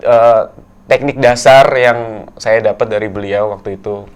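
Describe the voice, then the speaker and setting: Young man from Tegal, soft-spoken and halting, low smooth gravelly voice. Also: steady tone. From Tegal, Central Java, Indonesia. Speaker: guest actor. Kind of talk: casual interview.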